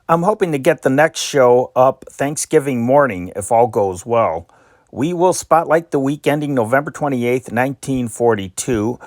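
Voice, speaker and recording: An older man narrates in a calm, unhurried way, looking back with nostalgia. His voice sits in a middle register. The recording is dry and close, with no room sound. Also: medium pitch, dry recording. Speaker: podcast host. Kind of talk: reflective scripted narration.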